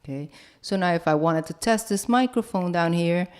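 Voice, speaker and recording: A woman with a slightly low voice teaches a software tutorial. She explains each step in a casual, instructional way and often pauses to check that the listener follows. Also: smooth. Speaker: host and music production instructor. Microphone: broadcast microphone.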